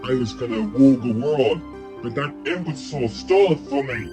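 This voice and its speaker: A man's voice: mid-range voice, expressive, a little fast, close to an everyday voice. A man voicing a bitter, irritable villain with grand ambitions in an amateur audio drama. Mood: irritated. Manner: grumpy, irritable. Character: bitter, grumbling defeated villain with world-conquest ambitions. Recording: loud music under the voice.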